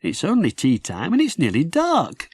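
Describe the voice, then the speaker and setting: A man puts on a little boy rag doll for a storybook. The voice is high and swoops about, puzzled and pleading, always wheedling with questions. It sounds close and dry. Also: wildly swooping pitch, moderate pace, a little mellow, slightly rough, no echo, heavily acted.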